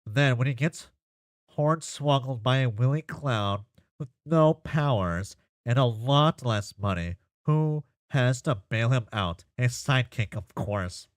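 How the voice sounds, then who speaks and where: A man's voice: mid-range voice, animated, slow pace, dry recording. A man playing an earnest, goofy, chatty boy full of silly opinions, rambling playfully in an amateur comic dub. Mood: opinionated, playful.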